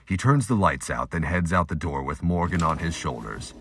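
A man's voice: low voice, expressive, normal speed, a bit bright, slightly rough, no room sound, studio quality. A man giving scripted, audiobook-style narration for an audio drama.

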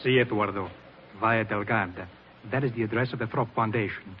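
A man's voice: on the low side, fairly even intonation, fairly quick, lightly acted. Man playing a furtive Italian henchman, noisy radio. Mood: conspiratorial. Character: furtive male Sicilian henchman. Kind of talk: 1940s radio acting. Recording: noisy, muffled 1940s radio recording.

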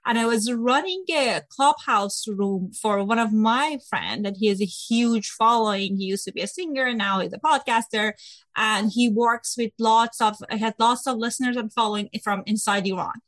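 A woman's voice: on the high side, moderate pace, a bit bright, dry recording. Woman host, dry close sound. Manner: asks questions, frequent restarts and non-native phrasing. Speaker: podcast host. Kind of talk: conversational interview.

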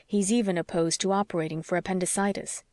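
A woman voicing an earnest, stubborn young male doctor who reasons in a measured, formal way, as in an audiobook. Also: on the low side, fairly even intonation, darkish tone, dry recording, close to an everyday voice. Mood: firm, reasoning. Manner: measured, formal, slightly stiff. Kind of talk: audiobook character dialogue.

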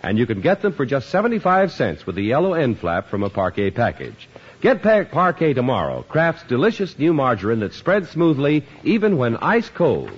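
Lively male radio announcer from the 1950s. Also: mid-range voice, moderate pace, breathy edge. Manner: polished, emphatic. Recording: dull lo-fi 1950s radio broadcast.

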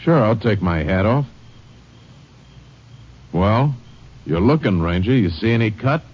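An actor playing a surly, evasive mechanic questioned about a robbery, in 1940s radio acting style. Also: male voice, lowish voice, expressive, a little slow, breathy edge. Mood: defiant. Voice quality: evasive, defiant. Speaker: suspect. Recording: dull old radio recording.

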